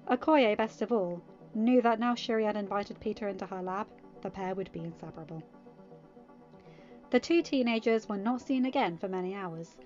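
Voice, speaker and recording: A woman giving an expressive scripted narration of a story, as a podfic reader. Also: mid-range voice. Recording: slightly dull recording. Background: music.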